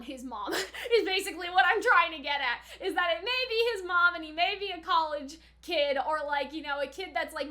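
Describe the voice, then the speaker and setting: A woman's voice: sits high, pushed and bright. A young woman giving casual, rambling reaction commentary in a chatty, slangy, giggly manner, often correcting herself as she goes. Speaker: anime reaction reviewer.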